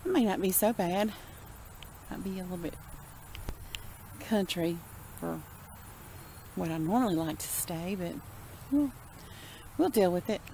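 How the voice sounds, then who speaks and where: A woman's voice: on the low side, a little slow, pauses often, warm tone, poor audio. A woman, chatting and laughing in a casual travel vlog, recorded on a phone held at arm's length inside a moving car. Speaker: vlogger. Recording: road noise from a moving car.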